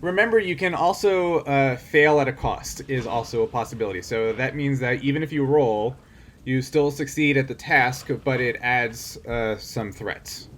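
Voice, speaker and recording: Man, game master, average pitch, casual rulings and banter, slight background noise. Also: normal speed, a bit bright.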